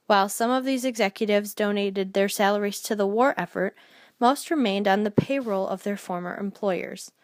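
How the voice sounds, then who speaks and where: A woman's voice: medium pitch, dry recording. Woman reading a documentary script, dry sound. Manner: reading aloud, occasionally stumbling over words. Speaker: narrator.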